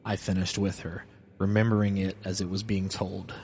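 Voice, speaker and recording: A man's voice: deep voice, little pitch movement, moderate pace, darkish tone, husky. A man reading a scripted first-person horror story as a solemn, literary narrator. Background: music.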